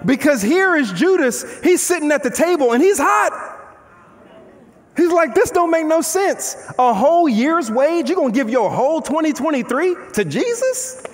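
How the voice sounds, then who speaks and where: A man in his thirties preaching an animated, conversational sermon on a large church stage, firing off rhetorical questions while he moves about. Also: extremely high pitch, moderate pace. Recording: long hall reverb.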